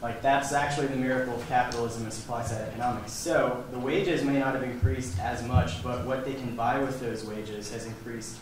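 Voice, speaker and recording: A man's voice: medium pitch, steady tone, a little fast, few pauses. Guy debating casually, says like a lot, far off in an echoey noisy room. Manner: casual, fluent, uses "like" often. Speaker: student debater. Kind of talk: debate argument.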